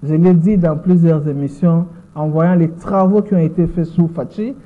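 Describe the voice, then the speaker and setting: Measured Congolese woman in her sixties. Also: lowish voice, steady tone. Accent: Congolese French. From Democratic Republic of the Congo. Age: 60s. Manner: measured, repeats words while searching for them. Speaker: interview guest, lawyer. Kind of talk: interview answers. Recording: tinny phone-like sound.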